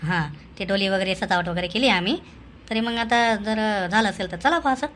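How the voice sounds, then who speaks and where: A woman's voice: mid-range voice, normal speed, fairly bright tone, a little hiss or hum, natural voice. A woman voicing a meddling, practical village man in a comic cartoon, coaxing and insistent.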